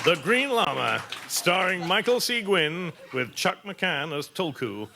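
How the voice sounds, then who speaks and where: An actor playing an old-time radio network announcer, reading scripted credits and teasers. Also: male voice, high-pitched, very animated, a little slow, creaky, full character voice. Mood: announcing. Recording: noisy live stage with audience.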